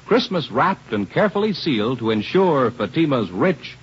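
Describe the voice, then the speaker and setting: A man's voice: very animated, normal speed, husky. An American man reading a 1950s radio advertisement as an emphatic commercial announcer. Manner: emphatic sales pitch. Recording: dull, noisy old radio recording.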